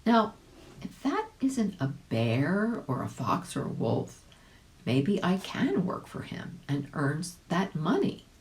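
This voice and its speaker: A woman voicing a plucky, good-natured old gentleman rabbit, musing earnestly in a storybook reading. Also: fairly low pitch, huge pitch swings, slow, full character voice. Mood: curious.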